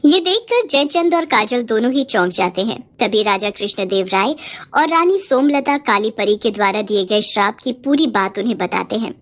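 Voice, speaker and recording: A woman's voice: medium pitch, expressive, normal speed. A woman reading a scripted children's moral story as narrator.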